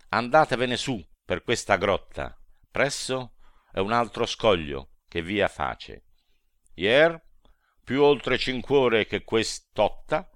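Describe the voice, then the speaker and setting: A man's voice: mid-range voice, fairly bright tone, no room sound, studio quality, voice pushed up. A man voicing a proud devil chief who gives orders in a raised, declaiming voice. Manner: commanding, declaiming.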